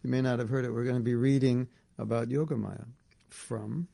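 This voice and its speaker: A man's voice: medium pitch, steady tone, a little fast, vocal fry, mellow, no room sound. A man teaching an informal scripture class indoors, talking casually with restarts. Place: indoor room at home.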